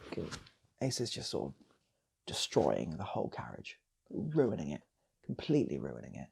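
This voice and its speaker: A man improvises a comic tale in a loose, repetitive ramble. His voice is very husky and breathy with some fry, and his pitch moves around in a lively way. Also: normal speed. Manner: rambling, repeats phrases for effect. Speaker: storyteller and channel host. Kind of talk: loose improvised comic storytelling, acting out characters.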